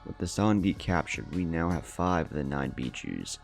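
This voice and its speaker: Man reading as a deep-voiced haughty masked villain. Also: big pitch swings, moderate pace, vocal fry, faint music behind, exaggerated voice. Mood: scheming. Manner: haughty, menacing. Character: scheming, condescending masked male villain, quick to anger. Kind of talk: storybook narration.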